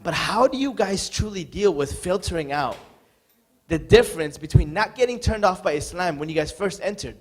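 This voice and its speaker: A man's voice: sits high, lively intonation, moderate pace. Earnest man asking a long question. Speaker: audience member asking a question.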